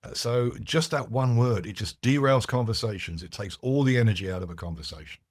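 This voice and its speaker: A man's voice: mid-range voice, a little fast, no echo. A man whose first language is French, a podcast guest explaining his ideas with frequent restarts and asides. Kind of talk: casual conversational interview.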